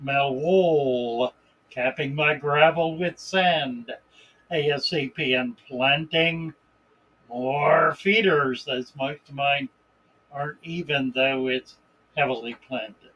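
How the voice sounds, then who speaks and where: Slow-talking husky man hosting a stream. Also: mid-range voice, highs cut off. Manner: relaxed, unhurried, chuckles between remarks. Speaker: livestream host. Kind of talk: casual live chat, greeting viewers and reading comments.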